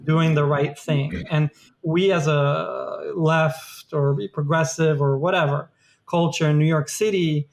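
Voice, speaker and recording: A man's voice: fairly high pitch, fairly even intonation, slow pace, gravelly. A man co-hosting a podcast, chatting loosely about politics with many "like"s and "um"s. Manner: loose, filler-heavy talk with frequent "like" and "um". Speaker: podcast co-host. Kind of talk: casual political chat.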